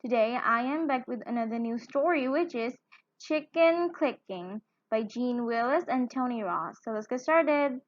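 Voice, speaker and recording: High-pitched woman reading a children's story slowly. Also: expressive, lo-fi sound. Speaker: children's storytime channel host. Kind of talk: read-aloud children's picture book narration.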